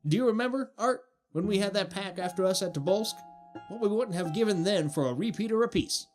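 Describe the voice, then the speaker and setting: Man playing plucky adventurer, higher-pitched voice. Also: lively intonation, brisk, faint music behind. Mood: keen, confident. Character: plucky, level-headed male adventurer fond of rifles. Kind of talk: radio play acting.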